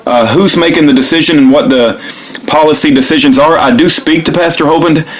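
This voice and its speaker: A man speaking informally and earnestly, as if leaving a phone message or narrating one, in a halting, repetitive, pleading way. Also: on the high side, rough recording. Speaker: activist video maker recording himself making a phone call.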